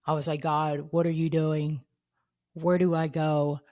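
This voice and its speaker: A woman's voice: sits low, little pitch movement, unhurried, a little mellow. A woman in her thirties hosting a faith podcast, talking in an earnest, reflective way. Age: 30s. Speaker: podcast host.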